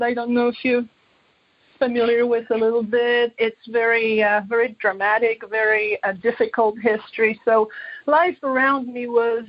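A woman tells her life story as an interview guest, reflective and halting as she searches for words. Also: a little high. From Poland. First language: Polish. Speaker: interview guest, artist and author. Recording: muffled, echoey room recording.

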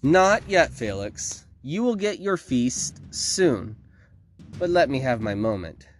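Man playing a high, screechy, sickly villainess. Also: sing-song, takes it slowly, a little hiss or hum. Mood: scolding. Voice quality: screechy, hacking, coughing. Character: wicked, theatrical, sickly young villainess with a shrieking temper.

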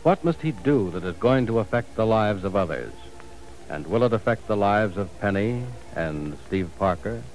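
A man's voice: fairly low pitch, lively intonation, normal speed, rough recording. A male announcer closing a radio serial in a suspenseful, questioning style. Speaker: program announcer. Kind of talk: dramatic radio serial sign-off.

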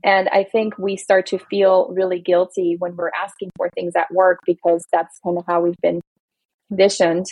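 Woman attorney guest, earnest explainer, breathy-husky tone, dry studio sound. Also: fairly low pitch, flat delivery, normal speed, darkish tone, no echo, poor audio. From Belgium. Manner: earnest, explanatory, speaks in long building lists. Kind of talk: casual podcast interview.

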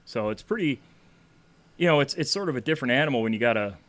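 A man's voice: mid-range voice, animated, fast talker, a bit bright. A man in his forties hosting a podcast in loose, casual interview chat, swearing freely. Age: 40s. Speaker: podcast host.

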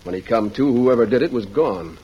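An actor playing a plainspoken frontier sheriff with a rustic American drawl, in old-time radio Western style. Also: male voice, mid-range voice, expressive, brisk, mellow, husky. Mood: matter-of-fact. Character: Western frontier town sheriff, plainspoken, folksy, steady male lawman. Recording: muffled, noisy vintage radio recording.

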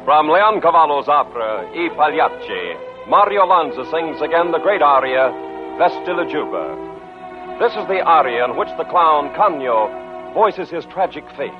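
A man announcing from a script in the style of a vintage radio show. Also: fairly high pitch. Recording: tinny band-limited old radio, faint music.

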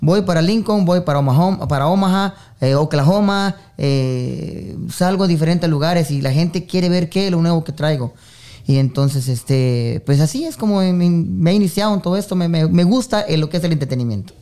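Man in his 40s, podcast guest, mellow, slightly high voice, easygoing storyteller. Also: moderate pace. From Guatemala. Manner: easygoing storyteller, repeats words. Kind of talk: casual interview.

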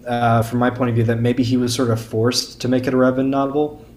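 A man's voice: medium pitch, little pitch movement, fairly quick, a little mellow. A man speaking as a podcast guest in casual, enthusiastic discussion, stumbling and repeating words as he starts.

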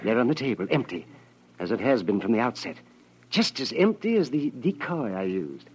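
An actor playing a scheming, bitter villain with a husky voice, sneering in precise, theatrical 1940s radio diction. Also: male voice, mid-range voice, big pitch swings, moderate pace, a little mellow, slight background noise, lightly acted. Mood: bitter, cold. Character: scheming, bitter male villain holding a gun. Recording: dull old radio recording.

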